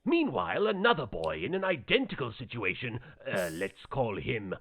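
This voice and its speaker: A man's voice: medium pitch, wildly swooping pitch, normal speed, fairly bright tone, full character voice. A man with a British accent voicing a sarcastic, bitter evil spirit in a mocking, melodramatic cartoon parody. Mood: mock storytelling, bitter. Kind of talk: comic cartoon parody dub.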